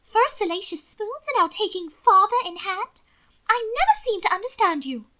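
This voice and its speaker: A woman's voice: very high-pitched, lively intonation, moderate pace, sharp tone, pitched above the performer's own voice, exaggerated voice. A woman voicing a vain, affected young aristocratic lady in a period audiobook, with prim, theatrical diction. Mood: affronted bafflement. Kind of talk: audiobook character voice. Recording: occasional static crackle from microphone.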